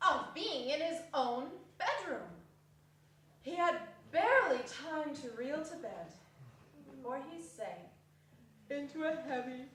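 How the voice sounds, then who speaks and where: A woman's voice: high-pitched, sharp tone, a little hiss or hum. A woman reading narration aloud in a theatrical, dramatic storytelling style in a live performance. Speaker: narrator and reader. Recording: echoey hall, distant microphone.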